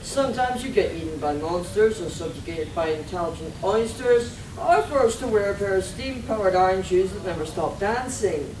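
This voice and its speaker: A woman's voice: medium pitch, lively intonation, normal speed, hardly any pauses, close to an everyday voice. A woman performing an arch, mock-dramatic narrator of a theatrical radio serial. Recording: echoey, noisy hall recorded from far off.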